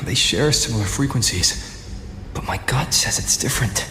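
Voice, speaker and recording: A man playing a calm, steady young wanderer hero in an anime game. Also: medium pitch, normal speed. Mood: grave, analytical. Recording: echoey, noisy game livestream capture.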